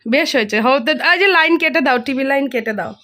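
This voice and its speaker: A woman's voice: high voice, brisk, bright, forceful tone. A young woman with a Bengali accent narrating a casual home vlog, chatting to viewers and family in a playful, teasing way. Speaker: family vlogger, mother.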